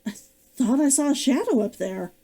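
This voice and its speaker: A woman's voice: high-pitched, very animated, moderate pace, dark tone, husky, full character voice. A woman reading the lines of a young female adventurer in a hesitant, worried way. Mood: uneasy.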